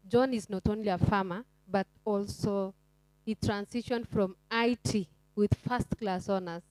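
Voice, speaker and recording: Female panel moderator, chatty, mid pitch, dry close sound. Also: darkish tone, no echo, low-quality recording. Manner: chatty, teasing asides to the audience.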